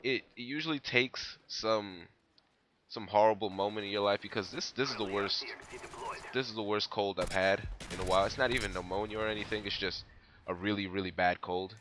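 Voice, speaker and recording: A man's voice: fairly low pitch, fairly even intonation, a little fast, fairly bright tone, slight background noise. A young man with a stuffy cold, rambling casually through his gameplay commentary. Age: young adult. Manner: rambling, laid-back, many fillers.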